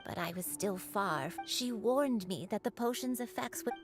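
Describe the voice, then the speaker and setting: A woman voicing a gentle, sorrowful elderly grandmother in a video game, halting and wistful. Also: mid-range voice, close to an everyday voice. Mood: wistful, regretful. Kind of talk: anime-style video game voice acting. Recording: music behind the voice.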